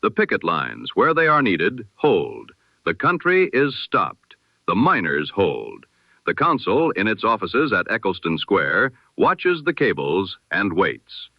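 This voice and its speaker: A low-voiced man reads the news in the solemn, measured style of an old-time radio broadcast. His pitch rises and falls widely through each sentence. The sound is dry, close and muffled, like a lo-fi radio recording. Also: wildly swooping pitch, vocal fry, no room sound. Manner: measured, solemn announcer cadence. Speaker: newsreader.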